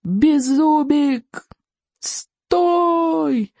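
A narrator voicing an anxious young Viking boy, groaning and straining to shout quietly in an audiobook story. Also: high-pitched, very slow, gravelly, dry recording, voice pushed up, heavily acted. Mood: panicked. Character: anxious young Viking boy pleading with his unruly dragon.

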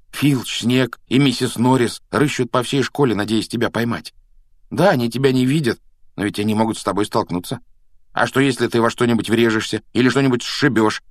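Storybook role: anxious, loyal boy, played by a man, urgent delivery, pitched up, dry close recording. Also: fairly quick, no echo, natural voice. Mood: worried. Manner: urgent, pleading. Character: excitable, anxious schoolboy, loyal best friend.

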